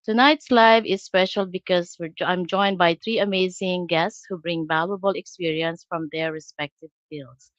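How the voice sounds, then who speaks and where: A woman's voice: lowish voice, fairly even intonation, moderate pace, few pauses, no room sound, muffled recording. An older woman, a grandmother, hosting a live online panel chat and welcoming her guests, with a few restarts as she reads out the questions. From Canada.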